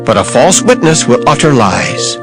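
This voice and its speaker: Male scripture reader, lively intonation, steady declamation, music bed underneath. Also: medium pitch, normal speed, creaky. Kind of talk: dramatized scripture reading. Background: background music.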